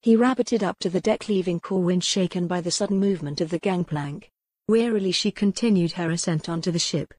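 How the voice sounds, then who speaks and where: A woman's voice: fairly low pitch, fairly quick, darkish tone, dry recording. A woman reading scripted narration as an audiobook narrator of historical fiction.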